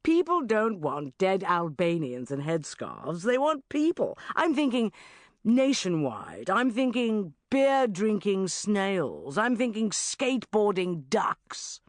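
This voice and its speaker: A woman voicing a hyperactive male news boss who rants loudly. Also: medium pitch, sing-song, slow, no echo, studio quality. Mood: ranting. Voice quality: loud, ranting.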